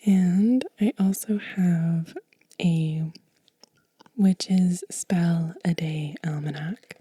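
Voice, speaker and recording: A woman whispering softly and breathily in a gentle, unhurried, soothing ASMR show and tell. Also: on the low side, steady tone, breathy edge, no room sound. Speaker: host of a witchcraft ASMR channel.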